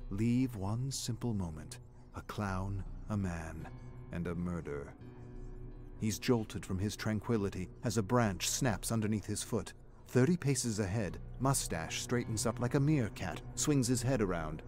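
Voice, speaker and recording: A man giving measured, theatrical narration of a dark, dramatic scripted story. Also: fairly low pitch, lively intonation, faint music behind.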